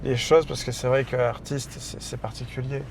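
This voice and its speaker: A man's voice: mid-range voice, flat delivery, moderate pace, gravelly, a crowd in the background. A man hosting a casual interview, asking loose, joking questions while driving. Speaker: podcast host.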